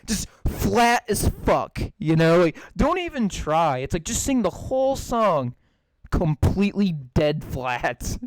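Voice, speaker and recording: A man hosting a podcast, with a raspy voice and a plugged-up nose, swearing and laughing in casual chat. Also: sits high, sing-song, slow pace, no room sound, low-quality recording. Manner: profane, laughing, voice cracks. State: stuffy nose. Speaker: podcast host.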